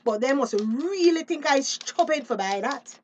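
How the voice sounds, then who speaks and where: A woman's voice: sits high, lively intonation, normal speed, slight background noise. A woman acting an outspoken, street-smart fisheries officer in a radio drama, telling things expressively in a Belizean Creole accent. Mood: animated, confiding.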